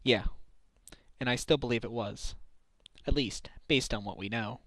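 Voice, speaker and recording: A man's voice: mid-range voice, measured pace, fairly bright tone, no room sound. A man playing an earnest, troubled defense lawyer and father in a game fan dub, brooding and self-doubting. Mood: subdued. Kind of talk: dramatic visual novel dubbing. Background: background music.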